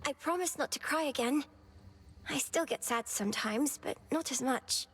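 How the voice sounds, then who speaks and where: An actress playing an earnest, determined young underworld princess in a video game, spirited, with formal old-fashioned phrasing. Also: female voice, high-pitched, moderate pace, a little hiss or hum, lightly acted.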